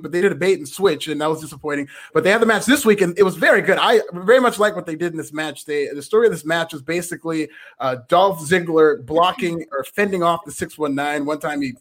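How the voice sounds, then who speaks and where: A man's voice: fairly high pitch, a bit bright. A man co-hosting a casual wrestling review chat, easygoing and agreeable as he recaps matches. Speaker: wrestling show co-host.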